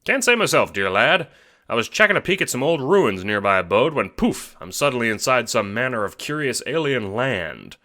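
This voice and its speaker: A man voicing a hearty, eccentric British gentleman explorer with pompous, old-fashioned exclamations in an audio drama. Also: mid-range voice, very animated, moderate pace, pitched above the performer's own voice. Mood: hearty, jovial.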